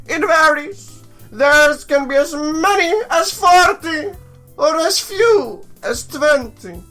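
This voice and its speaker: A man voicing a polite, businesslike young woman, a staff guide in a visual novel, speaking in a slow, instructive, drawn-out way. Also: very high-pitched, animated, sharp tone, faint music behind, pitched above the performer's own voice, full character voice. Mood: courteous, instructive.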